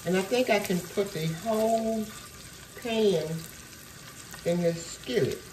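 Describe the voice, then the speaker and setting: Slow-talking woman with a cold. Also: medium pitch, noisy background. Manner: chatty, folksy, with many fillers. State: losing her voice from a cold. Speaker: cooking show host. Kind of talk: casual cooking demonstration. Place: home kitchen.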